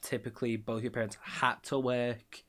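A man's voice: medium pitch, level tone, moderate pace, fairly bright tone. Chatty, self-deprecating male podcast host. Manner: chatty, self-deprecating, lots of "like, you know". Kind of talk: casual interview talk.

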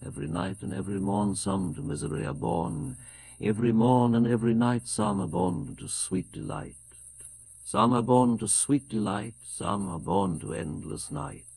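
An older man with a British accent reciting poetry in a solemn, measured, declamatory way. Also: on the low side, very animated, vocal fry, a little mellow. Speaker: poetry reader.

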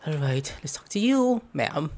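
A man's voice: fairly high pitch, very animated, measured pace, darkish tone. A young man giving casual gameplay commentary as a streamer in a room. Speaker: gaming streamer. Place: indoor room, webcam setup.

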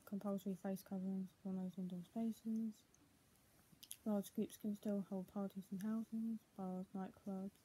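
A young woman vlogger with a husky, muffled voice, speaking at home into a tablet. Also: mid-range voice, warm tone. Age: young adult. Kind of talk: casual home vlog, partly reading aloud. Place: small room at home, indoors. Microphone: tablet built-in mic at arm's length.

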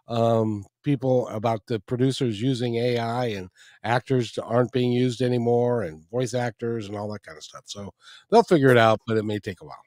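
A man's voice: medium pitch, moderate pace, a little mellow, dry recording. A middle-aged man hosting a talk radio show, chatty and jokey, repeating phrases as he talks into a studio microphone. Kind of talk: interview and show outro. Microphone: studio microphone with headphones. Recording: dry close mic, faint music.

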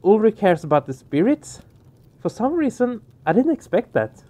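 A man's voice: high-pitched, big pitch swings, normal speed, mellow. A man hosting a let's play, reading game narration aloud and adding casual commentary. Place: small home room, indoors. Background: game music.

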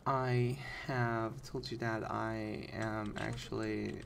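Slow, creaky-voiced man streaming. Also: fairly low pitch, little pitch movement, noisy background. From Turkey. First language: Turkish. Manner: halting, deliberate pronunciation. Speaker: game streamer. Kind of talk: casual live gameplay commentary. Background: game ambience.

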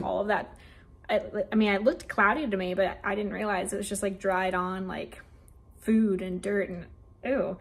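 American woman chatting casually, creaky voice. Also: mid-range voice. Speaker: home cleaning vlogger. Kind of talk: casual chat to camera. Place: home kitchen, indoors. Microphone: phone at arm's length. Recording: clearly noisy room ambience.

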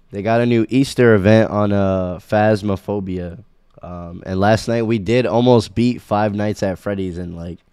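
A young man with a very low voice runs a relaxed livestream from home, greeting his chat by name between plenty of ums. He is sick, and his voice sounds a little scratchy. The sound is clear and smooth, recorded dry on a close boom mic. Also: dry recording. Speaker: streamer. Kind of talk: casual game livestream chat. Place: small room at home. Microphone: boom mic on arm, headphones.

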